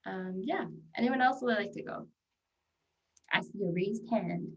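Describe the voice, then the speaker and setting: A young woman moderates an online panel in a friendly, easy way, laughing often and letting her sentences trail off softly. She sits a little back from her microphone on a video call. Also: mid-range voice, measured pace, creaky, far from the mic, highs cut off. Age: young adult.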